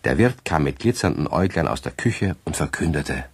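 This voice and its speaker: A man with a husky voice playing the genial narrator of a children's radio play. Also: rumbling low, big pitch swings, a little fast, exaggerated voice. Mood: storytelling. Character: genial male storyteller of a children's tale.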